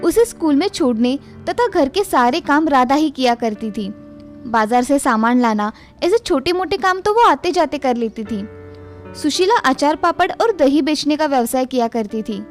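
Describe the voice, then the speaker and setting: A woman narrating a scripted moral story for children. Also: high voice.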